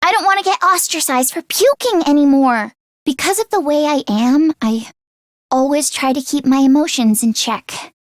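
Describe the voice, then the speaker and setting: A high, youthful voice for a video game's anxious mechanic girl, acted by a woman. She is sweet, expressive and earnest, quick to fret and put herself down. The recording is very dry, with no room sound. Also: no echo, natural voice. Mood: scared, insecure. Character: anxious teenage girl mechanic, self-deprecating, sweet, easily flustered. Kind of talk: video game character voice acting.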